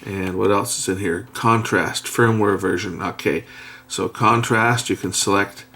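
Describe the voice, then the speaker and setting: A man's voice: fairly low pitch, steady tone, bright, forceful tone. A middle-aged man gives a casual hands-on demo at a workbench, talking himself through menus. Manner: thinking aloud while pressing buttons, unhurried. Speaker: product reviewer. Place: indoors at a workbench.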